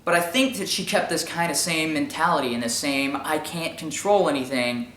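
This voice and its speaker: High-pitched man with an echoey sound. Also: normal speed, a bit bright, slight background noise. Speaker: commentary show host. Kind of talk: informal opinion commentary.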